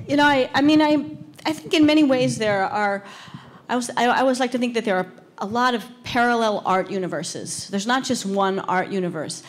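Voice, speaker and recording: An older woman speaking as a panelist in a conversational, discursive way, often saying "you know". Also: medium pitch. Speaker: panelist, art critic. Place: indoor panel event in a plain gallery room. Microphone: handheld microphone.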